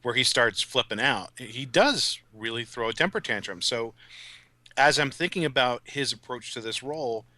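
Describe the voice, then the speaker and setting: A man's voice: mid-range voice, animated, moderate pace, pushed and bright, no echo. A middle-aged man co-hosting a podcast in casual discussion. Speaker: podcast co-host.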